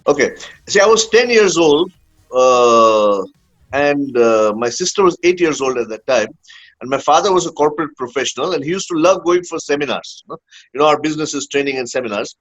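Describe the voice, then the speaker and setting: A man's voice: a little high, expressive, a little fast, fairly bright tone, faint music behind. Man, rambling coach on dull audio. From India. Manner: rambling, many "uh" fillers and tag "huh?". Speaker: guest, leadership coach. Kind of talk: casual storytelling interview.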